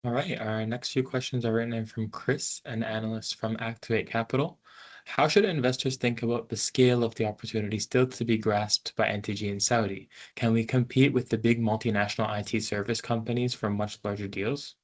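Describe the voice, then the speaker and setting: Male moderator, fairly low voice, reads submitted investor questions aloud on an earnings call. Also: steady tone, brisk. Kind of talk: reading written questions aloud.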